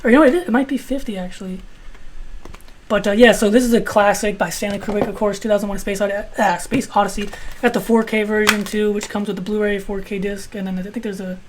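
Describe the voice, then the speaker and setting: A man in his twenties with a high-pitched voice, reviewing his film collection in a rambling, casual way with some swearing. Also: noisy background. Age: 20s. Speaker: video host.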